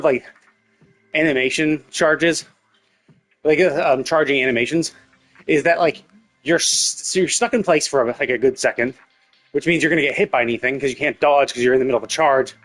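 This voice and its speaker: Male game streamer, mid-pitched, casual and analytical, rambling through his thoughts, music behind him. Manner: rambling, analytical, thinking aloud. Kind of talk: casual gameplay commentary.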